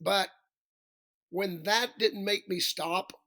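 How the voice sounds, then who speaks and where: A male singer with a raspy voice, chatting as a podcast guest, rambling and self-deprecating with many restarts. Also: high-pitched, takes it slowly, sharp tone. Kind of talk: casual interview chat.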